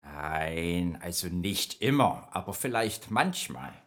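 A man's voice: deep voice, lively intonation, takes it slowly. A man voicing a friendly, chatty nightmare spirit who patiently explains dreams in a children's radio play. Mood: evasive. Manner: explains patiently, evasive then informative.

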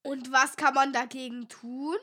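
A woman's voice: high-pitched, little pitch movement, measured pace, pushed and bright. A woman co-hosting a scripted children's radio show, asking curious, eager questions. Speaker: co-host.

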